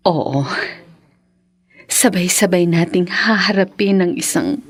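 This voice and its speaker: An actress playing a hurt but forgiving young wife and mother in a Filipino radio drama, with a slow, sighing, emotional delivery and a Filipino accent. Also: lowish voice, husky. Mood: tender, reconciled.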